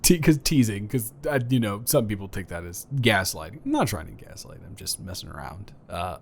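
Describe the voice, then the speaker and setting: A man's voice: lowish voice, lively intonation, a little fast, gravelly, a little hiss or hum. A man improvising a romantic audio roleplay as a flirty, playfully boastful neighbor, talking straight to the listener in a teasing, stammering, rambling way. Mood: teasing, sincere.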